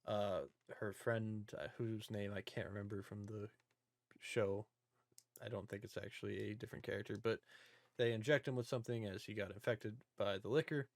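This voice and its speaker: Low-voiced man hosting a casual podcast. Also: steady tone, normal speed, dry recording, clean studio sound. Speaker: podcast host. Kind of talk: casual movie discussion.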